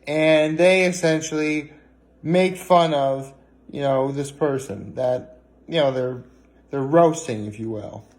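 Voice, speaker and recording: A man chatting casually in a vlog, rambling and hesitant, with frequent pauses and filler words. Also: a little high. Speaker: vlogger.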